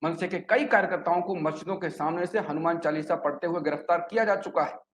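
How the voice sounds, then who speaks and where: A man's voice: fairly high pitch, fast. A man presenting a scripted news report in an explanatory way, occasionally stumbling over words. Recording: echoey room with clear background noise.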